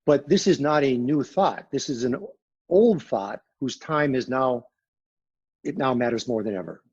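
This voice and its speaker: A man speaking with a husky, raspy voice in a measured, emphatic, pragmatic way. He talks as a guest consultant in a business interview. The recording sounds dull and lo-fi. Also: medium pitch, darkish tone.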